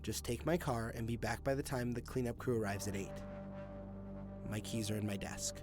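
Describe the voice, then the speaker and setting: An actor playing an authoritative, earnest police detective in an audio drama, with a probing manner. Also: male voice, mid-range voice, moderate pace, over music, natural voice. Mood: firm, in charge. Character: male police detective, Hazel's superior and former partner.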